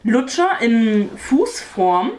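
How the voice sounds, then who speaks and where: A woman's voice: a little high, sing-song, extremely slow, darkish tone. A woman chatting casually in a group. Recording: echoey room.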